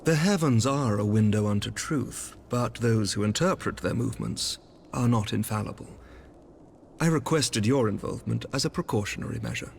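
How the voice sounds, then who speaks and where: Actor as gravelly, courtly knight commander. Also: male voice, mid-range voice, a little hiss or hum, natural voice. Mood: measured, diplomatic. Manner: formal, measured, archaic diction. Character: composed, courtly male knight commander, diplomatic and shrewd. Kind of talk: scripted fantasy game dialogue.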